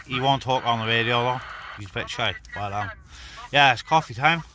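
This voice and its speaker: A man's voice: mid-range voice, moderate pace. A man hosting a casual, informal vlog, chatting to his viewers as he operates a CB radio. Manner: informal, addresses viewers as guys. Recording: clear background noise, radio and vehicle sounds.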